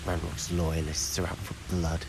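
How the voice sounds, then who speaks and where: An actor playing an eager, brooding teenage schoolboy wizard in a video game, with a British accent and an earnest, articulate delivery. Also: male voice, deep voice, level tone, moderate pace, background noise. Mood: cautious, keen. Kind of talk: video game character dialogue.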